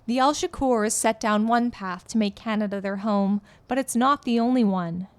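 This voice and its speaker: A woman reporter giving scripted news narration in a measured, clear delivery as a voice-over. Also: fairly high pitch.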